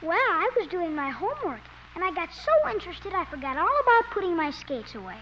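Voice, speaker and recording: A woman's voice: extremely high pitch, big pitch swings, brisk. A woman playing a polite, innocent, earnest little boy in 1950s radio comedy style. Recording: very noisy muffled 1950s radio recording.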